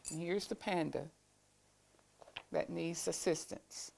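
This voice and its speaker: A woman reading a children's storybook aloud, with deliberate, expressive phrasing, as school staff do for young listeners. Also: on the low side, vocal fry, a little hiss or hum, low-quality recording. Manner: deliberate, expressive phrasing with pauses to show pictures.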